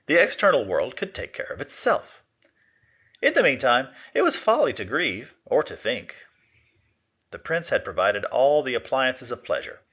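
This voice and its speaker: A man's voice: mid-range voice, fairly bright tone. A man reading aloud expressively in the manner of a teacher giving a literature lesson, on a slightly dull recording.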